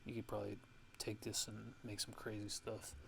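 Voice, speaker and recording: Male producer, lowish gravelly voice, casual ASMR tutorial delivery, halting and thinking aloud, noisy recording. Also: monotone. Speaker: music producer giving a tutorial.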